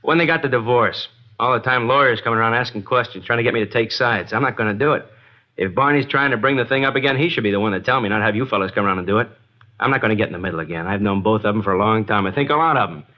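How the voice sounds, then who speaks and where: A man's voice: on the low side, rapid-fire, lightly acted. A man acting a guarded, reluctant working-class garage owner in an old-time radio drama, colloquial and grudging. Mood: testy, defensive. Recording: dull vintage radio broadcast.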